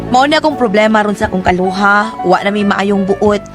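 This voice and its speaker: A woman's voice: medium pitch, moderate pace, loud music behind the voice, close to an everyday voice. An actress playing a troubled young woman in a radio drama, asking questions and telling her worries about her sister.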